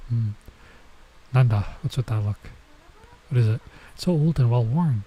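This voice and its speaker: A man's voice: mid-range voice, moderate pace, warm tone, slightly rough. A man doing a let's play, reading a visual novel aloud and breaking off for casual side comments. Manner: stops to remark on and reread the text.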